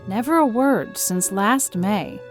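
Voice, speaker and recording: A woman playing a stern, practical older woman guardian, brisk and matter-of-fact, in an audiobook drama. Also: medium pitch, expressive, music in the background. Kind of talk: audiobook drama character reading.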